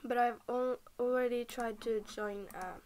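A woman's voice: on the high side, fairly even intonation, a little slow, darkish tone, a little hiss or hum. A young woman from Norway, just woken up and tired, rambling through casual game commentary at her computer, often half-whispering and stopping mid-sentence. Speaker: game vlogger.

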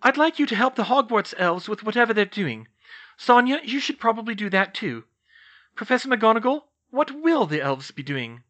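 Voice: medium pitch, sing-song, moderate pace, fairly bright tone, muffled recording, voice pushed up. A narrator voicing an earnest, anxious teenage witch girl with an emphatic manner, in a fantasy audiobook. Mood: businesslike.

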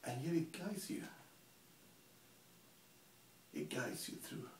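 A man playing a cold, menacing serial killer confessing in a halting, intimate stage monologue. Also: medium pitch, wildly swooping pitch. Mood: eerie, entranced. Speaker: amateur actor performing a monologue. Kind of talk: theatrical dramatic monologue. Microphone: camera mic at some distance. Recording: roomy, distant camera mic, hissy.

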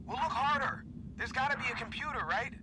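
A man's voice: extremely high pitch, brisk. A man playing an impatient, stressed soldier in a video game, urgent and demanding. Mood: alarmed. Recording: clear background noise.

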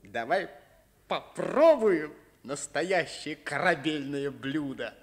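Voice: mid-range voice, takes it slowly. A performer playing an eager, goading schoolboy, a loyal best friend, in a children's radio play. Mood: teasing. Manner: excitable, urging.

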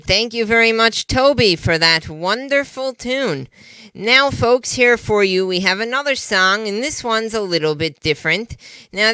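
A young man performs a courteous puppet master of ceremonies with a high voice. He introduces acts in a polite presenter's manner, recorded close and dry. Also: pushed and bright, clear, resonant tone, no echo. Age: young adult. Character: bespectacled male puppet show host in bow tie, courteous announcer. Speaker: puppet show host. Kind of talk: stage-show introductions.

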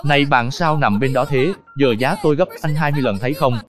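A woman doing a low-voiced grumbling cartoon fruit. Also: quick pace, soft background music. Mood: defensive. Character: grumbling, uncomfortable talking fruit or bait. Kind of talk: animated cartoon dialogue dub.

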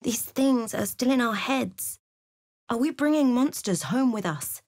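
A woman's voice: fairly high pitch, expressive, a little slow, darkish tone. A woman playing a wry, irreverent adventurer in a fantasy game, with a dry, sardonic manner. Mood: sceptical. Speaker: game companion character. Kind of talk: scripted fantasy game dialogue.

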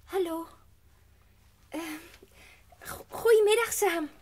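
An innocent little girl in an audio drama. The voice is extremely high, polite and hesitant, with faint ambience behind it. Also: slow pace, slight background noise. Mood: shy. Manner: childlike, hesitant, wondering. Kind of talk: Dutch audio drama acting.

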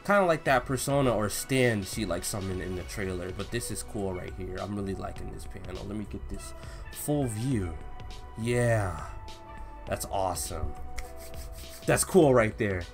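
A young man giving an excited, slangy, casual reaction commentary as he reads along, gushing as host. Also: fairly low pitch, normal speed, music in the background. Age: young adult. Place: home room with bookshelf, indoors.

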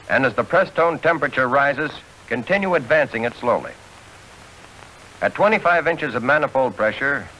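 An American man narrates a 1940s training film in a measured, instructional way. His voice is bright and forward, at a middling pitch. A faint hiss from the old film soundtrack sits under him. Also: low-quality recording.

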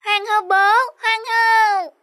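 A woman's voice: very high-pitched, flat delivery, slow pace, very noisy. A woman voicing a caring, dutiful little schoolboy in a cartoon, childlike and earnest. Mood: delighted. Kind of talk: cartoon dubbing.

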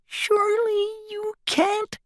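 A man's voice: very high voice, completely flat delivery, slow, no room sound, studio quality, voice pushed up, full character voice. A man voicing a small, polite, apologetic and curious mouse in a storybook, meek and courteous. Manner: meek, courteous, soft-spoken.

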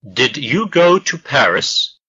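A man reading slow model sentences for an English lesson, each word carefully spaced. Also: mid-range voice, big pitch swings, bright, forceful tone, slightly rough, muffled recording. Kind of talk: slow scripted language drill.

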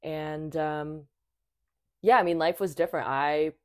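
Low-voiced young woman, chatty guest. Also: fairly even intonation, unhurried, clear, resonant tone, no room sound, studio quality. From Thailand. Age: 20s. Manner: chatty, many fillers and restarts. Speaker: podcast guest. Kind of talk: casual conversation.

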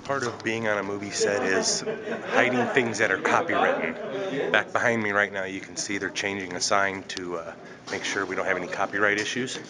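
A man's voice: fairly low pitch, fairly even intonation, normal speed, over a noisy crowd. A man in his forties vlogging casually at a film set in a bowling alley, talking upbeat and chatty into a handheld camera. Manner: chatty, upbeat, fond of "pretty cool". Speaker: vlogger filming behind the scenes. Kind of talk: casual handheld vlog narration. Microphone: camera held at arm's length.

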